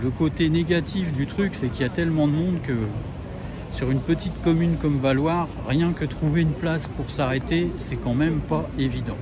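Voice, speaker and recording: A man's voice: on the high side, normal speed. Middle-aged man vlogging outdoors amid noise. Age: middle-aged, about 50s. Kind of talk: casual vlog chat to camera. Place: outdoors in a busy mountain village car park and show ground. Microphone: handheld camera at arm's length. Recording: noisy outdoor recording with vehicle sounds.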